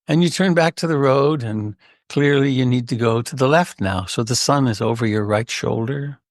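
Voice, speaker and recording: A man leading a guided meditation in a gentle, unhurried way with long pauses and a creaky voice. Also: medium pitch, no room sound, clean studio sound. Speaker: meditation teacher.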